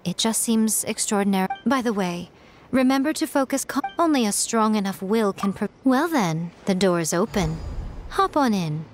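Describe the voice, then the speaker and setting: A woman's voice: mid-range voice, expressive, faint music behind, lightly acted. Woman voicing a sly, teasing fox-spirit shrine maiden. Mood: coolly amused. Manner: coy, teasing, unhurried and composed. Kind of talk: video game character acting.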